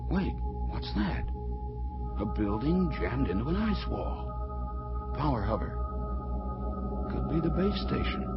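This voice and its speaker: An actor playing a proud, suspicious space fighter colonel in a sci-fi radio play, dramatic and skeptical. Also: male voice, medium pitch. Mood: hushed wonder. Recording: dull band-limited audio under loud music.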